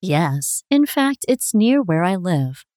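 Woman, animated intonation, dry close recording, scripted listening-test dialogue. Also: fairly low pitch, takes it slowly, no room sound. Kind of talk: scripted textbook dialogue reading.

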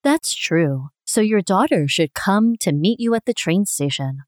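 A woman reading her parts in a scripted dialogue for a language listening test, clear and expressive. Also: mid-range voice, measured pace, dry recording, clean studio sound.

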